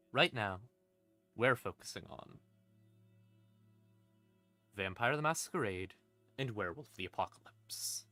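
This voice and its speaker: A man casually explaining game lore on a stream in an irreverent, sweary, rambling way, with dramatic pauses for emphasis. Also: lowish voice, bright, forceful tone. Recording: music playing in the background. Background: creepy ambient music.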